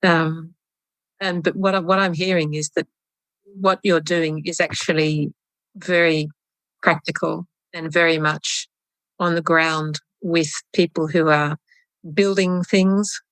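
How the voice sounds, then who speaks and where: Low, flat-voiced woman interviewer. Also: takes it slowly, no echo, rough recording. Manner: supportive, frequent backchannel murmurs. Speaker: podcast host, interviewer. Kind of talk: conversational interview.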